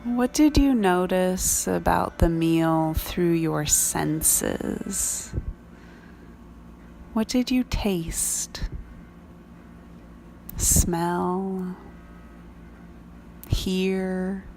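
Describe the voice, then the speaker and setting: Casting: woman meditation guide, lowish pitch, heavy vocal fry, measured and reflective, noticeable background noise. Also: warm tone, rough recording. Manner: unhurried, measured, reflective phrasing with long pauses. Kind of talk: slow guided prayer meditation.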